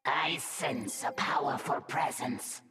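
A woman voicing a fierce, proud alien insectoid brood mother in a video game, imperious and formal, with deliberate delivery. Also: deep voice, lively intonation, creaky, fairly bright tone, exaggerated voice. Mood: commanding, urgent. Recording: echoing, reverberant, slightly distant with music.

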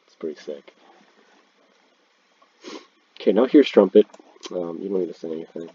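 A man's voice: sits low, normal speed, warm tone, slightly rough. A young man with a congested cold, talking in a laid-back, slangy way while commenting over a screen recording. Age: teens. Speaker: video creator ranking instruments. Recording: dull, lo-fi, dry sound.

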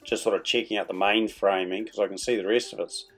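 A man's voice: lowish voice, fairly even intonation, fast, a bit bright, slightly rough. Middle-aged Kiwi man telling a story. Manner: chatty, colloquial, playful. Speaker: vlog host. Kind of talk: casual vlog storytelling voice-over. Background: background music.

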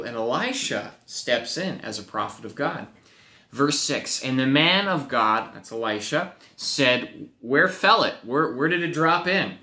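A young man, a youth pastor, teaching a Bible lesson to children with animated storytelling and playful acted-out voices, speaking to a webcam in a home office. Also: medium pitch, roomy sound. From Wisconsin, USA. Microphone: webcam at desk.

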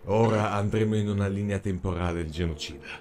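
A man voicing a cruel, mocking dark tentacled overlord in an animated fan dub, speaking with menacing mock politeness. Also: lowish voice, fast talker, a little hiss or hum, lightly acted. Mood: smug, commanding. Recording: music behind the voice.

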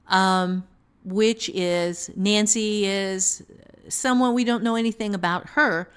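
Slow, halting woman teaching. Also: mid-range voice. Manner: halting, thinking aloud. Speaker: writing teacher, author. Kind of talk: unscripted podcast teaching talk.

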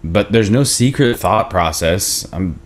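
A man acting a wry, laid-back boyfriend in his thirties, in a relationship drama. His voice sits fairly low but moves around a lot. The delivery is natural and a little defensive, over a video call. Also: expressive, measured pace. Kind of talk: naturalistic acted table read.

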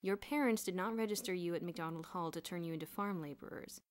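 A woman's voice: lowish voice, lightly acted. Woman playing a stern, dry male headmaster. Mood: cold, sarcastic. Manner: formal, measured, authoritative.

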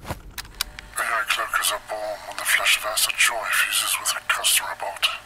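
An actor playing a grim scientist survivor, narrating research logs for a game in a hushed, breathy, measured and dramatic way. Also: male voice, on the low side, little pitch movement, very noisy, lightly acted. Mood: grave, clinical. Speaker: narrator of in-game cassette recordings.

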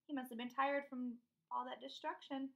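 A woman's voice: high-pitched, steady tone, moderate pace, vocal fry, muffled recording. A young woman reading a picture book aloud expressively, stopping to put questions to her young listeners. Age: young adult. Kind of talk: expressive children's read-aloud. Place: small room indoors at home.

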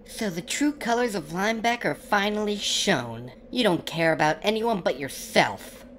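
A woman voicing a small fairy companion in a cartoon parody, sharp, scolding and politely pointed. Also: mid-range voice, animated, moderate pace, a little hiss or hum, lightly acted.

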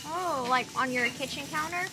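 A woman's voice: high-pitched, normal speed, over music, rough recording. A woman in her twenties chatting casually as the host of a shopping vlog. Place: large retail store. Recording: busy store background noise.

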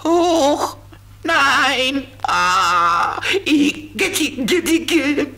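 A man voicing a fussy, excitable flying beetle who scolds, in a children's audio play. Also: extremely high pitch, extremely slow, gravelly, heavily acted. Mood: squealing protest. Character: fussy, squealing, ticklish male flying beetle, child's companion.

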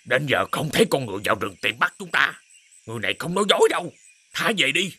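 A man voicing a gruff, bossy demon husband and father in an animated fairy tale. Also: mid-range voice, fast talker, exaggerated voice. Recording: loud music behind the voice.

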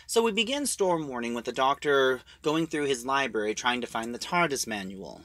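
A man giving an informal spoken review, retelling a plot in a halting, emphatic storyteller's way. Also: medium pitch, lively intonation, a bit bright, no echo.

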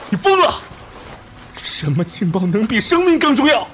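A man's voice: sits high, very animated, moderate pace, slight background noise. A man playing a passionate, betrayed young army officer in an emotional radio drama. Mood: desperate anger. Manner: impassioned, breaking into bitter laughter and hushed pleading.

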